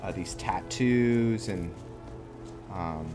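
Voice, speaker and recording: A man with a low voice narrates his roleplay game at a very slow, halting pace. He explains the lore casually, thinking aloud as he goes, with music playing behind him. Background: game music and game characters.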